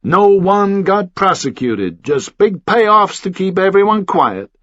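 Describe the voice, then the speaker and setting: A man's voice: high-pitched, big pitch swings, slow pace, fairly bright tone, no room sound, highs cut off, voice pushed up, exaggerated voice. A man voicing a hot-headed, outspoken male journalist in an audiobook, blunt and provocative. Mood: indignant, defiant.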